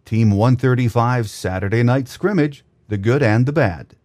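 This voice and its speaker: A man's voice: mid-range voice, normal speed, darkish tone, no room sound, clean studio sound. A man hosting a sports podcast, presenting scripted news and introducing interviews. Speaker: podcast host.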